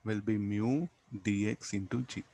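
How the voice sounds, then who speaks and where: A man's voice: fairly low pitch, steady tone, unhurried, creaky, mellow, dry recording. Indian man teaching physics, dry sound. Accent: Indian English. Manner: didactic, asks and answers own questions, tags statements with "no?". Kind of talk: classroom physics lecture, working through a problem.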